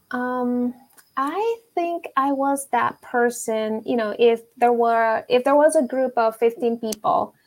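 A woman's voice: a little high, a bit bright. A woman in her forties, a therapist from Venezuela, speaks English. She tells her story reflectively with many ums, in a casual interview tone. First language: Spanish. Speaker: therapist guest.

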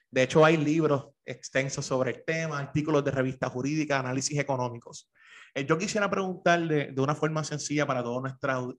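Formal Puerto Rican man hosting a podcast. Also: medium pitch. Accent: Puerto Rican Spanish. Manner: formal and deferential, fills pauses with "eh". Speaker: podcast host. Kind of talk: formal interview with a sponsor read.

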